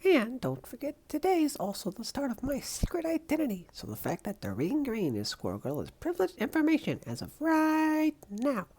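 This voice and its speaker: A woman's voice: high-pitched, huge pitch swings, normal speed, hardly any pauses, darkish tone, breathy edge, exaggerated voice. A woman voicing an upbeat young superhero college student in a comic dub, perky, chatty and emphatic. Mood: perky, determined. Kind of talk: comic book dub voice acting.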